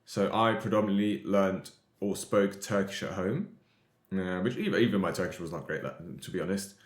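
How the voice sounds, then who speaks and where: A man's voice: sits low, normal speed, echoey room. A man hosting a podcast in casual, conversational talk. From Europe. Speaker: podcast host.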